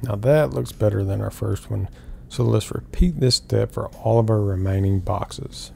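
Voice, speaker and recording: A middle-aged man calmly explaining woodworking steps one at a time in an instructional voice-over. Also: fairly low pitch, moderate pace, vocal fry, faint music behind. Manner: calm, step-by-step explaining. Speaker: woodworking host.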